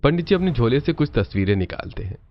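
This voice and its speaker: A man's voice: medium pitch, sing-song, quick pace. A man narrating a scripted cartoon story. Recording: muffled audio over loud music.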